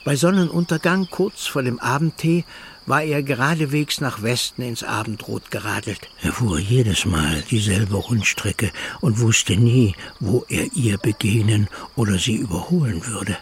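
Mid-pitched male literary narrator. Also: unhurried, a little hiss or hum.

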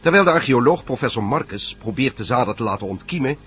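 Mid-pitched male narrator over prominent music. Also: expressive, fast, husky. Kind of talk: scripted radio drama narration. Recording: loud music under voice.

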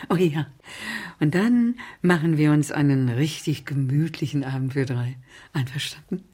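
An actress playing a lonely, genteel older aunt in a radio drama, gently pressing as she talks. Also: sits low, normal speed, slight background noise, close to an everyday voice. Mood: wistful, coaxing.

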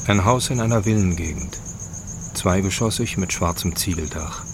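A man's voice: sits low, moderate pace. A man narrating a scripted scene in a terse, observational way. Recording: clear noise, humming insect ambience.

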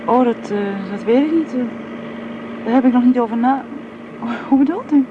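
A woman's voice: high-pitched, moderate pace, far from the mic, close to an everyday voice. An actress playing a polite, eager, slightly shy young woman in a radio drama, speaking hesitantly. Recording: muffled, noisy old radio recording.

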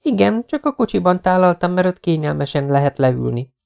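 A man's voice: high-pitched, expressive, gravelly, a little mellow, smooth, dull audio, pitched above the performer's own voice. A man reading an audiobook, voicing a worried, fiery young woman who pleads with rising emotion. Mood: playful. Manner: pleading, rising to near-hysterical.

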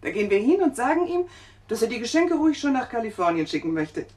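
A woman acting a worried, kindly mother of a small boy in a German radio drama, expressive and concerned. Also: fairly high pitch, fast talker. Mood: caring.

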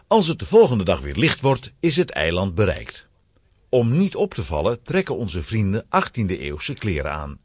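A man's voice: fairly low pitch, huge pitch swings, normal speed, slightly rough, no echo, studio quality. A man narrating a children's audio play in a scripted storytelling style.

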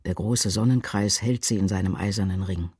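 A man reciting poetry for a radio production in deliberate, declamatory short statements. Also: low voice, darkish tone, husky, no room sound, studio quality. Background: music.

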